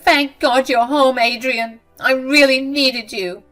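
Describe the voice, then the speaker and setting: A woman voicing a shrill, accusing mother-in-law. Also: normal speed, fairly bright tone, faint music behind, lightly acted. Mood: tearful, accusing. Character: angry mother-in-law, older woman, self-pitying and spiteful.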